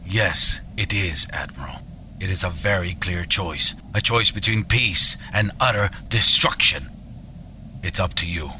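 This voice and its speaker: An actor playing a ruthless military general in a science fiction audio drama, cold, grave and deliberate in manner. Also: male voice, deep voice, bright, forceful tone, slight background noise, exaggerated voice. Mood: cold resolve.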